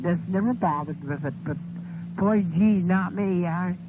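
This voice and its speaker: A woman's voice: on the low side, unhurried. Older woman, folksy rambling radio caller, rural phrasing, narrow telephone audio with hiss and hum. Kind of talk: casual personal phone chat. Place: on a telephone line. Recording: noisy, tinny phone call on 1970s radio.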